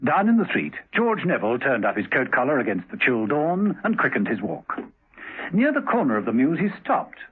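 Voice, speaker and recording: A man's voice: medium pitch, wildly swooping pitch, normal speed, slightly rough. A man reading dramatic scripted narration as the narrator of a 1970s radio drama. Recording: tinny, narrow-band vintage radio recording.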